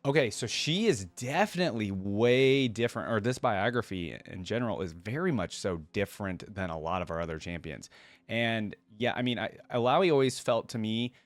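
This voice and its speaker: A man's voice: on the low side, expressive, moderate pace, no room sound. A man hosting a reaction video, reading a story aloud and adding casual, conversational commentary.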